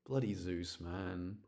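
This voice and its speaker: A man's voice: deep voice, darkish tone. A man giving casual live commentary as he streams a video game, rambling and often repeating himself.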